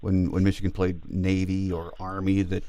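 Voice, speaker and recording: A fairly low American male voice, recorded dry and close. He hosts a sports podcast, moving between measured commentary and conversational interviewing. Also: monotone, dark tone, no echo. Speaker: podcast host.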